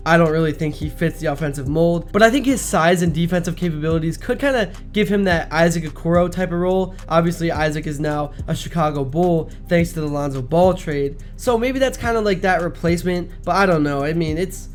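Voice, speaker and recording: A man with a slightly higher voice than usual, hoarse and a bit raspy, talking through basketball opinions in a casual, energetic way. A soft bed of music plays faintly behind him. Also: a little fast, hardly any pauses. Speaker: sports video host.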